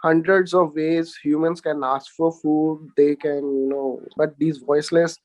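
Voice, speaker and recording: A man takes part in a panel discussion as a guest. His voice sits slightly higher than average, and his style is conversational. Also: flat delivery, measured pace, darkish tone, low-quality recording. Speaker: panel guest, entrepreneur and volunteer.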